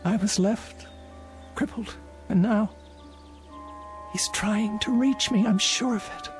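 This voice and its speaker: An actress playing an insistent, lonely elderly woman in a vintage television drama, speaking in slow, halting phrases. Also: medium pitch, close to an everyday voice. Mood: anguished, wistful. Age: older. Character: imperious, lonely elderly spinster haunted by lost fiancé. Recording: vintage TV soundtrack, faint music behind.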